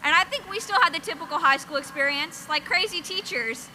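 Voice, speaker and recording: Very high, very bright young woman. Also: brisk, slight background noise, poor audio. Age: young adult. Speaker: graduating student speaker. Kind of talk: scripted speech.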